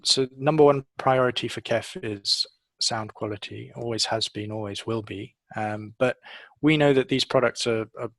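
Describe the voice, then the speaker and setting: A middle-aged man giving a measured, hesitant technical explanation in an interview, speaking into a headset. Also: medium pitch, a bit bright, no echo. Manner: hesitant, measured, pausing often. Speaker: audio engineer, interviewee. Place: office showroom, on video call.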